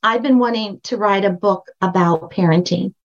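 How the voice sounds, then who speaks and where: Older woman guest, high voice, emphatic storyteller, dull lo-fi sound. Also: normal speed. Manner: emphatic, storytelling, repeats phrases for stress. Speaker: guest, brand strategist and book coach. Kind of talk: podcast interview.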